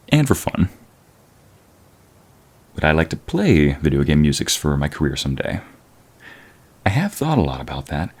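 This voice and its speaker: A man playing a shy, courteous, smitten concert violinist in a romantic ASMR roleplay, speaking with halting, formal politeness. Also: extremely low pitch, darkish tone, exaggerated voice.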